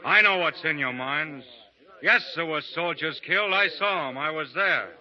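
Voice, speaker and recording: An actor playing a calm, resolute frontier gunfighter with a cool, dry, clipped delivery in a 1930s radio drama. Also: male voice, a little high, normal speed, close to an everyday voice. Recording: dull 1930s radio broadcast.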